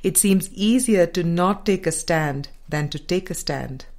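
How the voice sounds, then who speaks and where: A woman reading a spiritual book aloud in a measured, deliberate and formal way. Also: on the low side, darkish tone. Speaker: audiobook reader.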